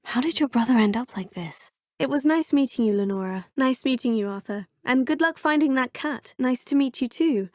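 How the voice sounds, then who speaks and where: A woman's voice: high-pitched, expressive, normal speed, few pauses, a little mellow, no room sound, studio quality. A polite young witch student. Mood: polite, curious. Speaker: player character. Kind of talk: video game voice clips. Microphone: close studio mic.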